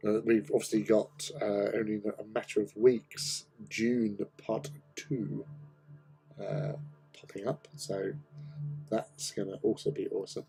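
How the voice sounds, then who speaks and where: A man with a croaky, cold-roughened voice hosts a laid-back hobby stream, talking slowly with lots of ums and trailing pauses. His voice sits low and mellow. Faint music plays behind him. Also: little pitch movement, rough recording. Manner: rambling, hesitant, many ums. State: hoarse from a cold. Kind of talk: casual chat while painting.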